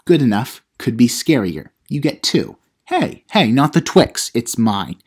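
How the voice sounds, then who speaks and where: A man's voice: medium pitch, big pitch swings, unhurried, darkish tone, husky. A man playing a cheeky, self-important teenage vlogger who gives mock how-to advice in an audio comedy. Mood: smug, instructive. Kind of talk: comedic how-to vlog monologue.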